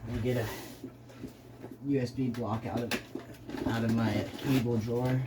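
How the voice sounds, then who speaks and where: A man hosting a casual, unscripted unboxing video, speaking haltingly and muttering to himself as he goes. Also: medium pitch, level tone, very noisy. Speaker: video host doing an unboxing.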